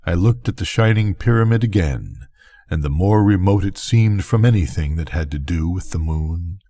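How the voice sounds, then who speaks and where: An older man reading a bedtime story as scripted narration, with measured, deliberate phrasing. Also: sits low, warm tone. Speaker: reader of bedtime stories.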